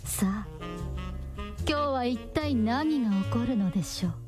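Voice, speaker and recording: A woman narrator with a fairly high voice tells a scripted radio drama in a dramatic storytelling style. Music plays clearly behind her. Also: expressive, measured pace.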